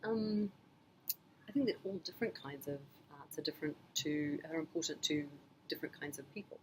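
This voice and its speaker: A middle-aged woman from New Zealand, answering her child's interview questions in a thoughtful, measured way with frequent pauses and ums. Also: low-pitched, a little mellow, slight background noise, rough recording. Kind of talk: casual family interview.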